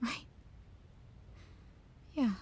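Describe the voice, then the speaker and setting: A woman's voice: extremely slow, darkish tone, no echo. An actress playing a dejected, guarded teenage girl, hesitant and clipped, in naturalistic audio drama dialogue.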